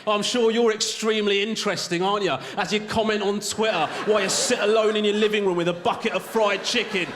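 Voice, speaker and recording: A man's voice: high-pitched, steady tone, fast, long reverb tail, crowd noise. A male stand-up comedian doing a conversational routine on a TV studio stage in front of a live audience, often tagging his lines with "right?".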